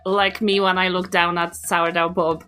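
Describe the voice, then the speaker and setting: A woman's voice: lowish voice, level tone, moderate pace, pushed and bright, faint music behind. A woman playing a tabletop game, casually describing her character and chatting with the group. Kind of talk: casual chat and character narration.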